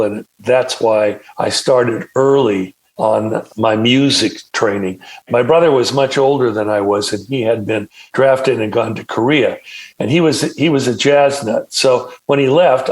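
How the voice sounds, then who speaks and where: An older man from California, a film director and producer, telling his story in a measured, reflective way. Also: mid-range voice, rough recording. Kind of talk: interview storytelling.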